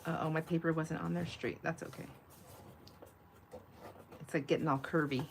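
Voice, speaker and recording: Female craft stream host, low nasal voice, rambles and thinks aloud informally, slight noise behind her. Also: steady tone, moderate pace, creaky. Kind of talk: casual live chat while crafting.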